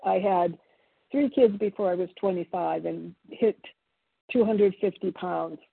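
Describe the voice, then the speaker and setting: A woman in her seventies whose first language is Italian, sharing her story at a recovery meeting in a halting, reflective way with many pauses and restarts. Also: fairly low pitch, vocal fry, husky, dry recording. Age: 70s. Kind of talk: personal testimony at a recovery meeting. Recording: phone-line audio.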